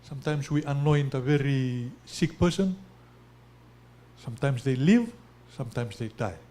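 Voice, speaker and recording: A man asking a question from the audience at a forum, hesitant and deliberate in his phrasing. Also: mid-range voice, expressive, warm tone.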